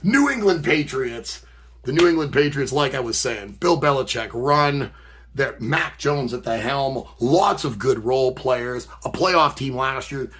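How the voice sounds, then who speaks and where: A man's voice: mid-range voice, expressive, measured pace, fairly bright tone, breathy edge. A man from Canada who is losing his voice, hosting a card opening with wry, teasing banter. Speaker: card break host. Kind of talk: casual chat.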